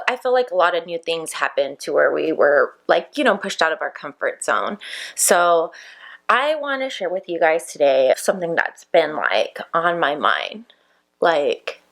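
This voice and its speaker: A woman's voice: low voice, big pitch swings, measured pace, gravelly, fairly bright tone. A woman in her thirties, a makeup business owner, chatting casually to camera and reflecting aloud, with plenty of "like" fillers. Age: 30s. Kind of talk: casual chat to camera while doing makeup.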